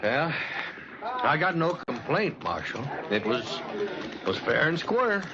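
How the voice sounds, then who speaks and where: Defensive, uneasy, animated western horseman. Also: a little high, slow, a crowd in the background. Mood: grudging. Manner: hesitant, self-justifying. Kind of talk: old-time radio western acting. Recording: dull, noisy old radio recording.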